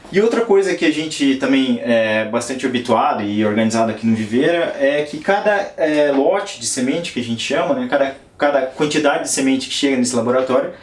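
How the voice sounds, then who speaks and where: A man's voice: on the high side, breathy edge. A Brazilian man, a worker at a plant nursery, explaining the work to an interviewer on site with many fillers and restarts. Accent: Brazilian Portuguese. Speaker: nursery worker at a conservation NGO. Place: plant nursery and lab on a rural property.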